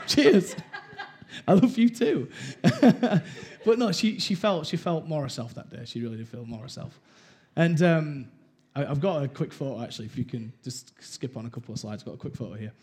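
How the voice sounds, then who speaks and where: A man's voice: medium pitch, lively intonation, fairly quick, darkish tone. Guy from wales telling his church story, laughs at himself, plays out the quotes. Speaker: church speaker. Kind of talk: personal testimony talk.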